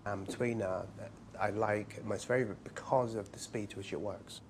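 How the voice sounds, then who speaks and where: A man in his forties from England speaks in a low, settled register. He explains his practice in a relaxed interview manner with light humor. There is a faint hum of room sound around him. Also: normal speed. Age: 40s. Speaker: practitioner of Chinese medicine. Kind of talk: casual interview talk. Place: his clinic, indoors.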